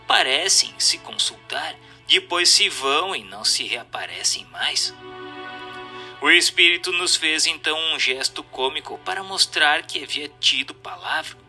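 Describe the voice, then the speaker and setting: A man with a Brazilian accent reads a formal text as a narrator, in a measured, didactic storytelling delivery. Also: fairly high pitch, pushed and bright. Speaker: audiobook narrator. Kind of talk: scripted narration of a spiritist text, formal reading. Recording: voice over background music. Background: music.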